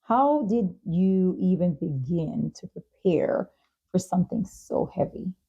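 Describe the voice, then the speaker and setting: A woman's voice: on the low side, animated, takes it slowly, creaky, warm tone, husky, muffled recording. A woman hosting a talk show podcast with a polished presenter's delivery. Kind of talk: scripted sponsor reads and podcast interviewing.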